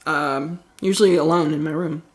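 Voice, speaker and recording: A man co-hosting a comedy podcast, chatting casually in a halting deadpan. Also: on the high side. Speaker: podcast co-host. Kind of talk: casual joking chat.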